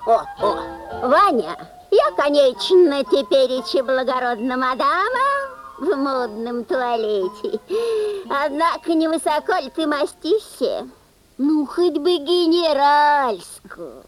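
A simple peasant mother putting on airs. The voice is high and animated, with rustic sighs and doubt, over faint fairy-tale music. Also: slow pace, heavily acted, poor audio. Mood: doubtful, fussy.

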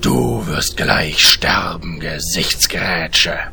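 Casting: pompous orc chieftain, gravelly and menacing, ponderously slow, for fantasy comedy radio play. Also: male voice, deep voice, steady tone, heavy background noise, full character voice. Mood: angry. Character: gruff, pompous, threatening male orc warlord. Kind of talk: parody fantasy audio drama.

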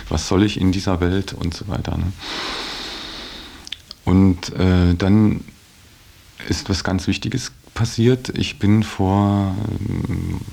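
A man's voice: low-pitched, vocal fry, a little mellow. A middle-aged man giving a reflective personal account in a hesitant way, searching for his words. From Belgian-German background. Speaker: interviewee.

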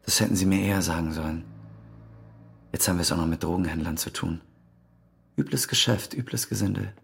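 An actor playing a dogged, suspicious police detective in a radio crime drama, dry and matter-of-fact, with a husky voice. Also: male voice, deep voice, a little fast, heavily acted. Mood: disapproving.

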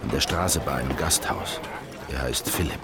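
A man's voice: extremely low pitch, normal speed, heavy background noise. An actor playing a haunted fugitive ex-revolutionary, brooding through his inner thoughts in intimate, fragmented phrases. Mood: weary, urgent. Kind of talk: radio drama interior monologue. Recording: music behind the voice.

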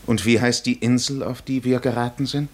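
An actor playing a weary, worldly wandering castaway hero, middle-aged and gently teasing, with measured, musing delivery in a 1950s German radio drama. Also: male voice, mid-range voice, fairly even intonation, slightly rough, lightly acted.

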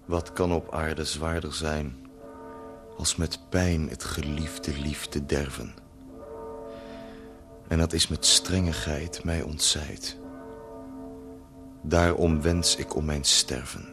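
A melancholy poet of old recites his verses with great slowness and at times sings them. Plucked guitar plays under his voice. It is a 1970s radio play. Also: rumbling low, fairly even intonation, exaggerated voice. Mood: mournful. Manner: slow solemn declaiming of verse, at times sung. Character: melancholy male poet of the 1600s.

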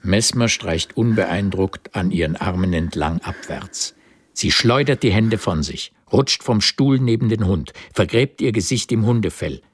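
A man narrating a radio drama in a measured, terse literary style. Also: on the low side, lively intonation, breathy edge, dry recording, clean studio sound. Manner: measured, terse present-tense storytelling.

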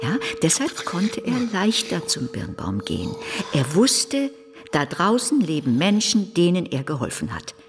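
A woman's voice: lowish voice, big pitch swings, unhurried. An actress playing a caring, earnest woman in a hospice radio drama, consoling and insistent. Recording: long reverberant hall.

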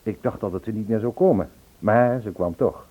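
Through a tinny old radio recording comes an actor playing a charming, impulsive man in a radio play. His voice is on the low side and a little husky. He tells his story in a courteous, conversational, suave way. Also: moderate pace, close to an everyday voice. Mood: reminiscing. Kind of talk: Dutch radio play, narration and dialogue.

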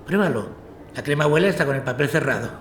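A man's voice: a little high, expressive, fast, reverberant, a little hiss or hum, natural voice. A man acting a concerned, kindly husband and family man in a Spanish radio drama. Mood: gentle.

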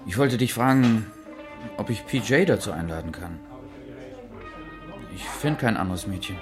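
A man's voice: on the low side, animated, moderate pace, over music, lightly acted. An actor playing an earnest, awkward young male student in audio drama dialogue. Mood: hesitant, earnest.

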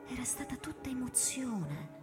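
Woman narrating a radio story, really animated, long reverb on the voice. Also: sits high, unhurried. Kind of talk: dramatic scripted storytelling for radio drama. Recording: reverberant, music underneath.

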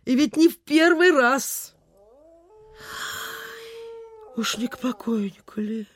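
A woman's voice: high voice, animated, normal speed. An actress playing a superstitious, chatty provincial landlady in a radio play, with sighing, gossipy, old-fashioned folksy speech. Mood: anxious, fretful. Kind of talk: Soviet radio theatre acting.

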